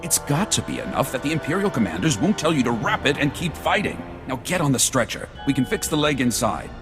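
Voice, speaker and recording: A dry, brusque battlefield medic for a game. His mid-range delivery is lively and wry. It rings in a reverberant space with faint music. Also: mid-range voice, brisk. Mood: brisk, wry. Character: dry, grumbling male battlefield doctor. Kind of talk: video game cutscene acting.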